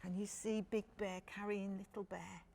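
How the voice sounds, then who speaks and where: A woman's voice: mid-range voice, little pitch movement, normal speed, vocal fry, warm tone, a little hiss or hum. A woman storyteller reading a picture book expressively to young children, addressing them directly.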